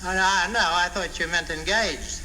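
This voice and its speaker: A middle-aged man answering as a radio quiz contestant, bashful and hesitant with stammering pauses. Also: high-pitched, fairly even intonation. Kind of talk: unscripted radio interview. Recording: very noisy old radio broadcast recording.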